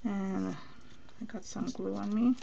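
Gravelly-voiced woman with a Venezuelan accent. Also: mid-range voice, fairly even intonation, unhurried, warm tone, dull audio, slight background noise. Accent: Venezuelan Spanish. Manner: chatty, thinks aloud while working, answers viewer comments. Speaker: craft demonstrator on a live stream. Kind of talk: live crafting demonstration, chatting with viewers.

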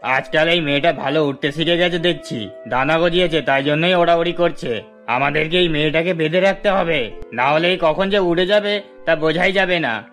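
A man's voice: on the high side, normal speed, fairly bright tone, natural voice. A man voicing a spiteful, controlling grey-haired uncle in a cartoon story, grumbling and sneering. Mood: sneering, disapproving. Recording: music behind the voice.

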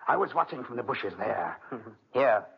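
An actor playing a scheming, menacing murderer with a sly, scornful, theatrical manner in a 1940s radio drama. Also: male voice, mid-range voice, lively intonation, moderate pace, vocal fry, slightly rough, full character voice. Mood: sly, insistent. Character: scheming, menacing villain, a knife-throwing murderer. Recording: noisy, muffled 1940s radio recording.

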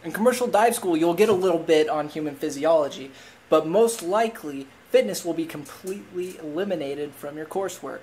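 A young man in his twenties, a commercial diver, talks casually to a webcam in a small room at home, his voice a little raspy from a cold, upbeat with joking asides. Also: on the high side, animated, brisk, darkish tone. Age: 20s. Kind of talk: casual explainer vlog.

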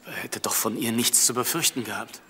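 A man's voice: mid-range voice, fast talker, sharp tone, big-room sound, a little hiss or hum. A man playing a reserved aristocratic son who is taken aback, in a crime drama. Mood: subdued. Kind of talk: German crime drama acting.

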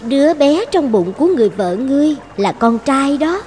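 Sly lonely mermaid in a cartoon fairy tale, high voice, music under. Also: female voice, big pitch swings, moderate pace, a little mellow. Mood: commanding. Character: cunning, lonely sea fairy granting bargains. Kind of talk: animated fairy-tale dialogue.

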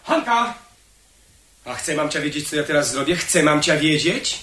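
A bitter, rebellious son with a bright, cutting voice. He declaims with biting sarcasm in an echoey vintage radio drama, over faint noise. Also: on the high side, very animated, moderate pace. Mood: bitter resolve. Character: defiant, bitter young man, rebellious bourgeois son. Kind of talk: 1970s Polish radio drama acting.

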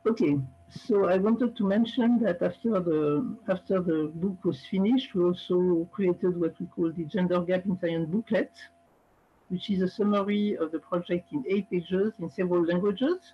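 A woman's voice: fairly low pitch, normal speed, breathy edge. A woman giving a remote conference presentation through a headset mic, speaking hesitantly with many fillers and restarts. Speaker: panel speaker presenting a project. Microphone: headset microphone. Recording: distorted, muffled video call audio.

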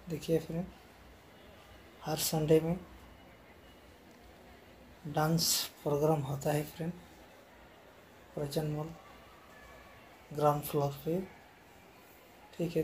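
A man talks slowly and evenly as he walks through a shopping mall, filming on his phone. His voice is mellow and fairly high, and he keeps pointing things out and calling the viewers friends. Faint mall noise sits behind him. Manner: repetitive, addresses viewers as friend. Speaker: vlogger giving a walking tour. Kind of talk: casual walking tour narration. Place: outdoors and inside a large shopping mall.

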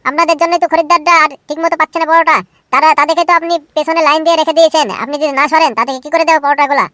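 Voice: high voice, level tone, racing, pushed and bright, no room sound, studio quality. A performer voicing a broke, sulky, scheming young lad in a comedy cartoon. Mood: exasperated. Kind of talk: Bengali comedy cartoon dubbing.

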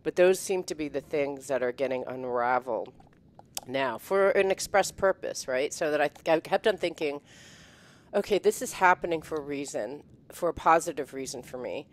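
Middle-aged woman, guest on a video call, headset mic, emotional and animated, frequent laughs and restarts. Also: sits low, normal speed. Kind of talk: casual chat. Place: home room, indoors.

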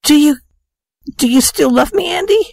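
A woman's voice: mid-range voice, animated, a little slow, darkish tone, no room sound, pitched above the performer's own voice. A woman voicing an anxious young woman in a storybook, pleading and earnest with her sweetheart. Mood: hopeful.

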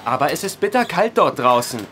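An actor playing a reluctant, cheeky young farm son in a radio drama, sardonic and protesting. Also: male voice, fairly high pitch, big pitch swings, a little fast. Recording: noisy ambient background.

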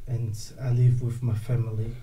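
A man in his late twenties from Congo, telling his personal story as a podcast guest, hesitant and halting. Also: mid-range voice, flat delivery, mellow, slight background noise. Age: late 20s. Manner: hesitant, halting with many uh fillers. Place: studio set with wooden slat wall. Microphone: desk mic on stand.

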